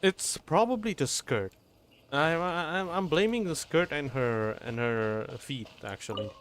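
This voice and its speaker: A man doing casual live gameplay commentary as a streamer, halting and often repeating how his phrases start. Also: on the high side, animated, fairly bright tone, slight background noise. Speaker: game streamer. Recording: faint game music behind.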